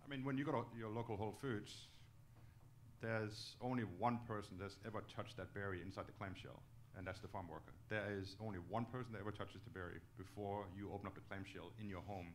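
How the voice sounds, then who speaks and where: A man's voice: on the low side, fairly even intonation, brisk, a little hiss or hum. A middle-aged man from Denmark, a native Danish speaker, giving earnest, emphatic answers in an on-stage interview, often checking in with "okay?". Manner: emphatic, ends points with "okay?". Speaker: company executive, guest speaker. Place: auditorium stage, indoors. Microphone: handheld microphone.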